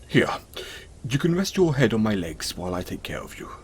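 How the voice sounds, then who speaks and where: A man playing a gentle, caring, self-conscious centaur in an intimate audio roleplay, speaking in a soothing, hesitant, apologetic way. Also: on the low side, sing-song, brisk, breathy edge. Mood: kind, reassuring.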